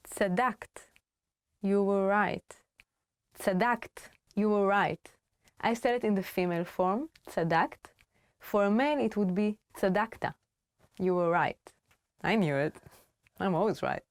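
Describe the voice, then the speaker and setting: A playful woman hosting a video language lesson, upbeat and chatty. Her voice sits at a medium pitch and is recorded close and dry, with no room sound. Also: darkish tone, no room sound. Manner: playful and upbeat, with frequent laughs. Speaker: language teacher.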